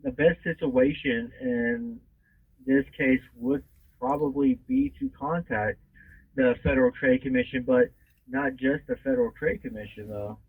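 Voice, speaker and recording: Helpline guy, gravelly and husky, explains stuff casually, a bit hesitant. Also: medium pitch, animated, a little slow, no echo, narrow, tinny audio. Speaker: consumer complaint phone agent. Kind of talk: casual phone advice.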